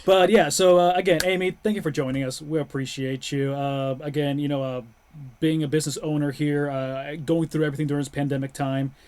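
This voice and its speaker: A man's voice: on the high side, fairly even intonation, brisk, darkish tone. A man hosting a casual webcast, talking in a rambling way with restarts and fillers. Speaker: host.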